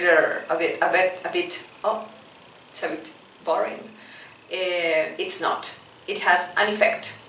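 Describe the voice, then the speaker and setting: A woman's voice: mid-range voice, pushed and bright, slight background noise. A woman teacher leading an English lesson, patiently drilling phrases and often checking in with "right?". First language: Spanish. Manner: slow, patient drilling with frequent "right?" tags. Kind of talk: classroom-style English lesson with repetition drills. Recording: roomy, distant from the mic.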